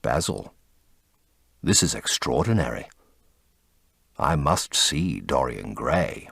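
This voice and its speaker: Man as witty male aristocrat, languid, urbane, cynical, audiobook character, lively intonation, dry recording. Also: very deep voice, takes it slowly, gravelly, slightly rough, no echo, voice pushed down, exaggerated voice. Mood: intrigued. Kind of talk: dramatic audiobook character acting.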